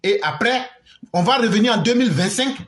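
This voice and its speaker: A man introducing archive clips in an animated commentary for his video channel. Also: high voice, a little fast. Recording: roomy echo.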